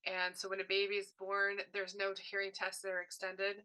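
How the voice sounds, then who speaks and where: A woman's voice: mid-range voice, monotone, moderate pace, sharp tone, smooth, poor audio. A woman voicing a deaf presenter's signed workshop talk in short, broken phrases, often correcting herself mid-sentence. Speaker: sign language voice interpreter.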